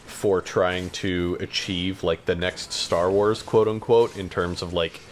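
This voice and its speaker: Low-voiced man in casual podcast chat. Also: steady tone, takes it slowly, slight background noise. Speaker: podcast co-host.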